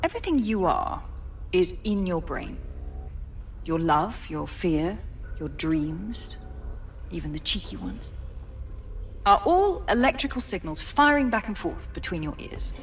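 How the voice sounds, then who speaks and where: A woman's voice: lowish voice, big pitch swings, measured pace, long pauses, distant mic, a little hiss or hum. An actress playing an assured, visionary female tech CEO giving a scripted keynote in a video game. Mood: earnest, intimate.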